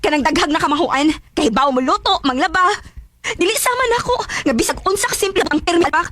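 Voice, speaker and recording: A woman's voice: extremely high pitch, lively intonation, fast talker, a bit bright, slight background noise. A woman playing a plaintive, hurt young wife in a radio drama, with a Filipino Bisaya accent. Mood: hurt, angry. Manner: plaintive, pleading.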